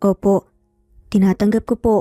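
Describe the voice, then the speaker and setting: Woman voicing a cold, bitter Filipino groom, mellow-toned. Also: mid-range voice, level tone, normal speed, studio quality, natural voice. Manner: cold, menacing. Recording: roomy echo, faint music behind.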